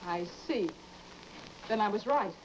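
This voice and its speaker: An actress playing an anxious, suspicious, insistent wife in old-time broadcast drama, on a muffled, noisy old recording. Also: female voice, mid-range voice, animated, unhurried, lightly acted. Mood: anxious, probing.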